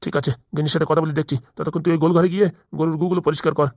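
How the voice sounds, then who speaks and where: A woman voicing a wary, grumbling middle-aged village man in a comedy cartoon, haggling gruffly. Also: deep voice, fairly even intonation, racing, a little mellow, no echo, studio quality. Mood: bargaining.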